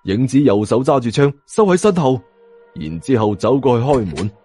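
A man's voice: mid-range voice, lively intonation, moderate pace, warm tone, faint music behind. A man with a Hong Kong Cantonese accent, narrating an audiobook in a dramatic first-person storytelling style.

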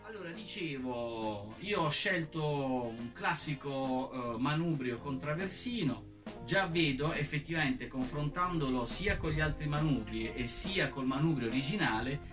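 A man's voice: fairly high pitch, a little slow, far from the mic, over music. A man casually walking viewers through a hands-on comparison, explaining step by step. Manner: relaxed, explanatory, checks in with "ok?". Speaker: motorcycle vlogger.

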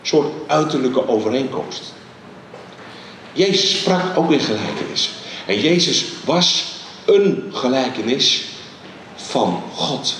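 A middle-aged man preaches on a church stage with a handheld mic, his pitch rising and falling with lively emphasis. His voice rings out through a very echoey large hall, with a faint hum of ambience behind it. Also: far from the mic. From Netherlands. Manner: animated, emphatic preaching with many pauses. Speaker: guest preacher. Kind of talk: sermon.

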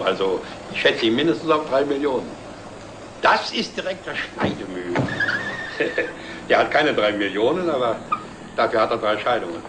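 A man's voice: mid-range voice, natural voice. A man playing a wryly mocking company director in a scripted television drama. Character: wryly mocking male company director, sardonic and self-ironic. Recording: roomy, distant, noisy 1960s TV soundtrack.

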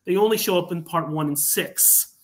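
A man talking enthusiastically as a writer guest on an online panel chat, telling his story in an animated way. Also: high-pitched, moderate pace. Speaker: podcast guest, fan writer. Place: remote online call.

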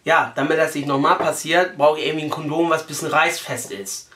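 Brash young man, higher voice, roomy sound. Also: fairly even intonation, brisk, sharp tone. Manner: brash, crude, mock-earnest, colloquial. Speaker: prank call host. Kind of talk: casual prank phone call. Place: small living room, indoors.

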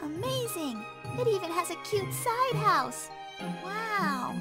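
A woman's voice: very high voice, sing-song, slow, over loud music, exaggerated voice. A woman voicing a sweet, naive, cheerful young child in a cartoon comic dub, wide-eyed and innocent. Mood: bright wonder.

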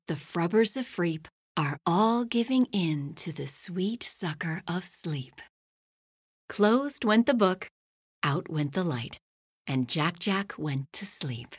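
Woman narrator for a kids' storybook read-along: unhurried, very expressive, dry close sound. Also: on the low side, slightly rough, no room sound. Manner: slow, lively storytelling, hushed at bedtime lines and loud in action. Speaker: storybook reader. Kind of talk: read-aloud children's bedtime story.

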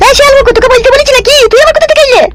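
A woman's voice: very high-pitched, very fast. A woman voicing a haughty, defiant young daughter-in-law in a comedy cartoon, snappish and rude. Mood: defiant, scornful. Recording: loud noise behind.

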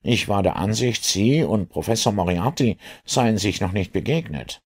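Man voicing an eager, higher-pitched police inspector. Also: lively intonation, moderate pace, slightly rough. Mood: curious, admiring. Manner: earnest, questioning. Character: eager, puzzled male police inspector, respectful admirer.

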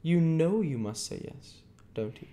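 A stern middle-aged lawyer, as a male reader puts him on, pressing every question like a cross-examination. His voice rides well above the reader's own, loud and insistent, with a mellow darker tone underneath. Clipped and emphatic, a storybook figure who always knows better. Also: a little high, very animated, unhurried, voice pushed up. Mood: lecturing.

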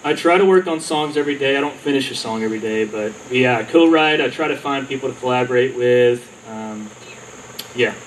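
A man's voice: medium pitch, brisk. A man answering questions in a modest, hesitant way, trailing off between thoughts. He is picked up from a distance on an outdoor stage, with a faint hum around him. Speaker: songwriter contestant. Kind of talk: onstage interview.

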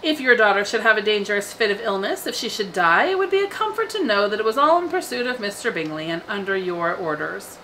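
A woman's voice: medium pitch, lively intonation, bright, forceful tone, slight background noise, rough recording. A woman voicing a sardonic middle-aged father in an audiobook, formal and dryly sarcastic. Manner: sarcastic, formal, measured wording. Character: dry, sardonic middle-aged father, needling his family. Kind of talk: audiobook character voice.

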